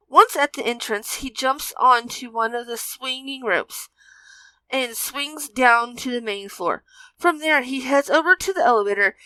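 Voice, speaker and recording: A woman's voice: on the high side, sharp tone, no echo, rough recording. A woman narrating a fan fiction story as a scripted reading.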